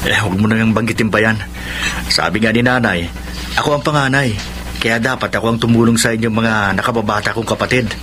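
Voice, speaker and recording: Guy acting a patient selfless big brother around thirty, gentle reassuring radio drama voice, clear noise behind. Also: on the low side, quick pace, lightly acted. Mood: teasing, reassuring. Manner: gentle, reassuring, respectful to elders.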